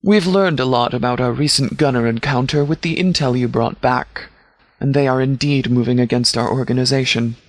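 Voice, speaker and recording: A man playing a stern, composed sheriff in a video game, speaking in a measured, formal, authoritative way. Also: mid-range voice, no echo, natural voice. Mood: businesslike briefing. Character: stern, composed male sheriff leading a post-apocalyptic militia. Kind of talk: scripted video game dialogue.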